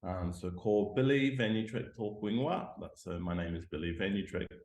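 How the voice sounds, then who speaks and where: Deep-voiced Australian-born man, hesitant. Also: normal speed, a little mellow, reverberant. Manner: hesitant, many ums, self-deprecating. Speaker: guest lecturer and scientist. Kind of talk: reflective interview talk. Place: home room by a window. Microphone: video call.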